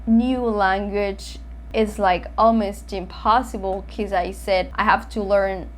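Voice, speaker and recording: A woman's voice: mid-range voice, fairly even intonation, slow. A woman in her late twenties from Venezuela, a native Spanish speaker with a Venezuelan accent, chatting expressively as a teacher telling a story to learners. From Maracaibo, Venezuela. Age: late 20s. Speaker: English teacher and video host. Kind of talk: casual storytelling for language learners.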